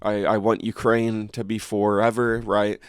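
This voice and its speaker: A man's voice: lowish voice, level tone, measured pace, smooth, no room sound, clean studio sound. A congested man hosting his own channel, reading news articles aloud and then chatting casually with fillers and restarts. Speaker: channel host.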